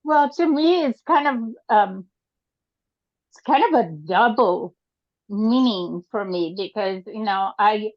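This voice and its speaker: Older brazilian-born woman, portuguese first language, hesitant and halting, dry muffled call audio. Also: medium pitch, no echo. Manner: halting, hesitant, many fillers. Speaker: group participant. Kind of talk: casual sharing in an online group. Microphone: video call.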